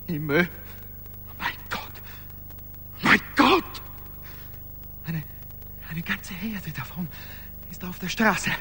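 A man's voice: high voice, lively intonation, takes it slowly, pauses often, pushed and bright, a little hiss or hum, heavily acted. An actor playing an anxious, bewildered, panicky everyman in a radio play, agitated and stammering. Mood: panicked. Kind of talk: 1980s German radio play acting.

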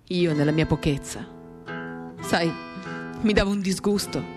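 A woman with a fairly low voice performs a dramatic reading of a novel. Her delivery is intimate and measured, broken into short phrases with pauses. An acoustic guitar plays faintly behind her. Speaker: reader of a novel. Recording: guitar music under the voice.

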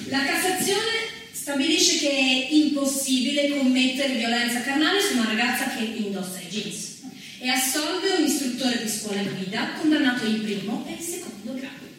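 A woman performing as a stern, formal narrator of laws, declaiming in a measured way from a distance, with heavy echo and faint music. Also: high-pitched, lively intonation, fairly bright tone, slight background noise. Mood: indignant, emphatic. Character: stern female narrator reciting laws and court rulings. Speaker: stage actress. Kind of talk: dramatic scripted theatrical narration.

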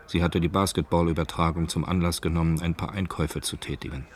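An actor narrating a hard-boiled crime story in a scripted radio drama. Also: male voice, low voice, level tone, quick pace, slight background noise, close to an everyday voice. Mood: sober, reflective. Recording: music behind the voice. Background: background music.

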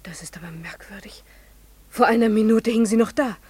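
Casting: actress for apprehensive, superstitious wife in a radio drama, mid-range voice, full of uneasy wonder. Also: female voice, mid-range voice, moderate pace, darkish tone, breathy edge, natural voice. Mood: hushed amazement. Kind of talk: German radio play acting.

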